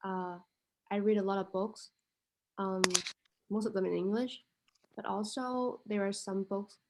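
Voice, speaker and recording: A woman speaks English as her at a middling pitch. She talks slowly and hesitantly, thinking aloud and filling her pauses with "um" and "like". Her voice comes through a video call. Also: steady tone, background noise. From Vietnam. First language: Vietnamese. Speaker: international student with a startup idea. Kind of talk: casual conversation.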